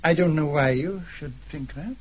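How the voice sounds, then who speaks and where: Husky, flustered gentleman in a radio play. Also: fairly high pitch, moderate pace. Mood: uneasy. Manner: hesitant, courteous, effusive. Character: flustered, evasive titled Scottish islander trustee, polite. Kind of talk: 1970s radio drama acting. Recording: dull, muffled 1970s radio recording.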